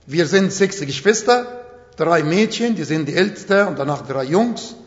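Prediger mit arabischem Akzent, hohe Stimme, langsam im Hall. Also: male voice, fairly high pitch, lively intonation. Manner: slow, emphatic, non-native German phrasing. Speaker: preacher. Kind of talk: sermon. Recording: echoey hall, dull sound.

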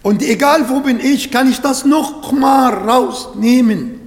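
A man's voice: very high voice, slow, roomy sound, long reverb tail. A man whose first language is Arabic, preaching a sermon in German, earnest and sometimes searching for words.

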